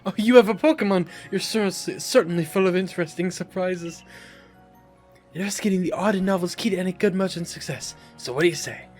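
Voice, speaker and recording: A man's voice: sits high, a little fast, darkish tone, faint music behind, natural voice. A man voicing a friendly, curious young travelling merchant with a courteous, theatrical manner. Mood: curious, sociable.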